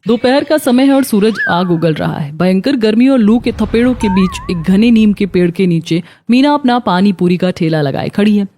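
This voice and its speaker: Mellow woman storyteller. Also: medium pitch, expressive, moderate pace, few pauses. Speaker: narrator. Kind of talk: scripted moral story narration. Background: faint background music.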